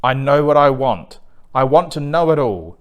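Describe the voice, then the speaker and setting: A man acts out a restless young king from a children's storybook, greedy and full of himself. He speaks in emphatic, grandiose demands, with pitch that swings about. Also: lively intonation, normal speed, natural voice. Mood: demanding, excited.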